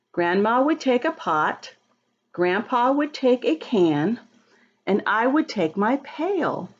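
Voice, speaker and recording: Lady doing kids storytime, slow and really up and down, talks right to the little ones, slightly muffled recording. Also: mid-range voice. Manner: expressive, drawn-out words, addresses child listeners directly. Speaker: children's storybook reader. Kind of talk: read-aloud to young children, chatting and asking questions.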